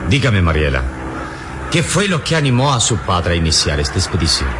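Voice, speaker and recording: Very deep-voiced, solemn adventurer hero. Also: male voice, big pitch swings. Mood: courteous, solemn. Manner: grandiloquent, measured, courteous. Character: wise, bold adventurer hero, gallant and solemn. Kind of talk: old radio serial acting. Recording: noisy old radio serial, vehicle drone.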